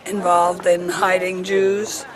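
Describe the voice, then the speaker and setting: An older woman from Poland, German by first language, speaking haltingly and searching for words. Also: lowish voice, little pitch movement, background noise. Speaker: interviewee.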